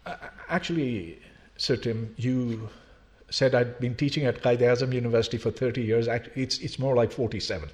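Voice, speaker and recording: A man's voice: medium pitch, steady tone, normal speed, a little mellow. An older man, a longtime professor from Pakistan, giving an emphatic public lecture full of rhetorical questions. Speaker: university professor.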